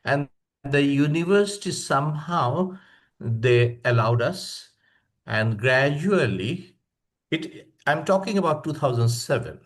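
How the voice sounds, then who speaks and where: An older man from Bangladesh with a Bangladeshi accent, speaking in a halting, reflective way as a guest presenter on an online webinar. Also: medium pitch, expressive. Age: 60s or older. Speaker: professor of palliative medicine, guest speaker. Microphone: online video call.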